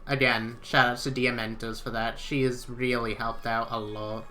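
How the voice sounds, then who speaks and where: A man's voice: mid-range voice, little pitch movement, moderate pace, pushed and bright, faint music behind. A man from Wales whose first language is Welsh, chatting and joking casually while playing a game live. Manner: chatty, joking, self-deprecating. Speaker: speedrunner.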